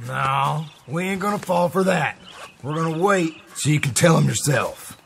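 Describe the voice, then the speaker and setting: An actor playing a dry, sardonic, folksy American backwoods outlaw in a western video game. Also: male voice, fairly high pitch, moderate pace, creaky, fairly bright tone, a little hiss or hum. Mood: sarcastic. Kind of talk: video game cutscene acting.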